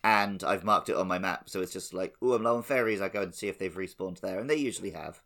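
A man's voice: sits low, quick pace, creaky, a bit bright. A man chatting casually about a video game as a podcast host.

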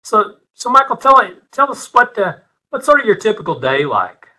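A man's voice: sits high, big pitch swings, normal speed, pushed and bright, poor audio. A man with an East Texas accent, guest-hosting a casual podcast interview over a video call and often restarting sentences as he talks. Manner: friendly, repeats words when starting sentences.

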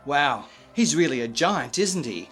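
Actor as a genial, animated gentleman suitor. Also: on the high side, a little slow, faint music behind. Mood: playful. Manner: genial, polite. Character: friendly, charming gentleman neighbour courting a mother. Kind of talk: old-time radio drama acting.

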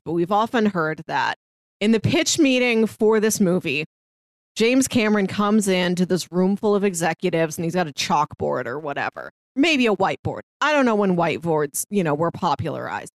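A woman's voice: lowish voice, expressive, moderate pace, no room sound. A woman co-hosting a podcast, chatting casually about films and telling anecdotes. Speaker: podcast co-host.

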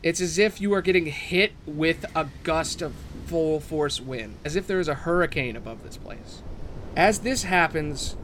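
A man working as game master, narrating a tabletop role-playing game casually with dramatic pauses. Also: fairly high pitch, a bit bright, slight background noise.

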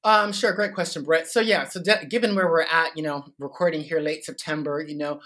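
A man's voice: fairly high pitch, brisk. Man, Canadian accent, middle-aged, podcast guest, methodical explaining, "so" and "uh" fillers. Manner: steady explaining, frequent "uh" and "so" fillers. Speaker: podcast guest, business growth consultant. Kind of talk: casual interview talk.

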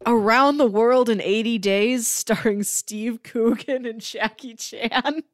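A woman's voice: on the high side, slow pace, dry recording, clean studio sound. A woman co-hosting a casual pop culture podcast, chatty and emphatic, repeating phrases for effect. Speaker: podcast co-host.

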